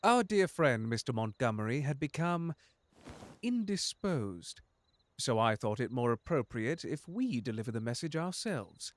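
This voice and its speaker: Guy doing a smooth polite butler, dryly sardonic, super close dry sound, asmr roleplay type thing. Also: medium pitch, sing-song, measured pace, no room sound. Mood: polite, composed. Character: suave, sardonic, unflappable demon butler, protective and courteous. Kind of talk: ASMR audio drama roleplay addressed to the listener.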